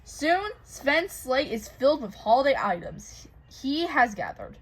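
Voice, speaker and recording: A woman co-hosts a read-aloud of a children's story. Her voice is very high and moves up and down a lot as she narrates expressively. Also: big pitch swings, measured pace, husky, low-quality recording. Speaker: co-host reading a picture book aloud.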